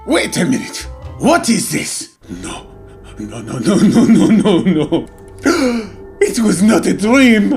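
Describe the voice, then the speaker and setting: Melodramatic, swooping French artist. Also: male voice, high-pitched, big pitch swings, a little mellow, faint music behind, heavily acted. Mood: despairing, wailing. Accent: fake French. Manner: theatrical, pompous, halting. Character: melodramatic, vain French male artist, wine-loving, alone in tower. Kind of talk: comedic gameplay narration in character.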